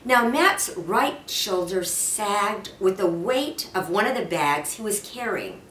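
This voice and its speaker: A woman in her sixties reading a children's story aloud expressively, with deliberate, careful phrasing. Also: mid-range voice, lively intonation, fairly bright tone. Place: indoor office or lounge. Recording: roomy, distant mic with faint noise.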